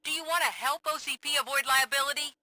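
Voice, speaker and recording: An actress playing a determined, wary investigative reporter in a video game, with a pointed, challenging way of talking. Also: female voice, sits high, fairly even intonation, fast talker, sharp tone, a little hiss or hum. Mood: indignant, defiant. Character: determined, defiant investigative reporter woman, wary and sharp-tongued.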